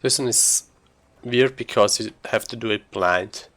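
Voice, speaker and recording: Low creaky-voiced man doing game commentary. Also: measured pace, a bit bright. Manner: informal, thinks aloud while playing. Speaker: speedrunner streaming a game. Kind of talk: casual live gameplay commentary.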